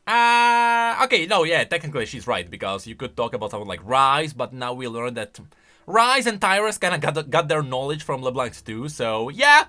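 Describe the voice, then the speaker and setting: A man's voice: medium pitch, big pitch swings, moderate pace, bright, forceful tone. A man giving casual, conversational reaction commentary on video game lore, musing aloud as he goes.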